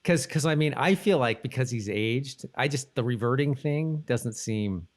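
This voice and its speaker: Regular pitched guy on a casual podcast, easygoing, kinda halting as he talks. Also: lively intonation. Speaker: podcast co-host. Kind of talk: casual TV discussion.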